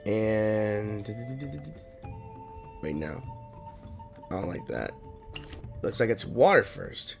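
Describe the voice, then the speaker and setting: A man's voice: on the low side, lively intonation, extremely slow, vocal fry, long pauses. A man giving casual gameplay commentary, muttering and thinking aloud as he plays. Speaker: gaming streamer. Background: game music.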